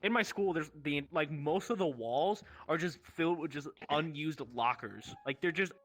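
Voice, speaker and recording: A young man casually telling rambling stories to friends in a slangy, sweary, animated way. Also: on the high side, moderate pace. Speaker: show host telling stories.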